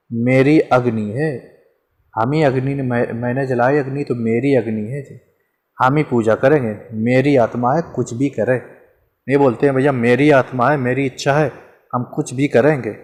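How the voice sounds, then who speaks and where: Male teacher, mid pitch, chatty lesson delivery with rhetorical questions and lots of repetition. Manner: conversational, repeats examples, asks and answers himself. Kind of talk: informal grammar lesson.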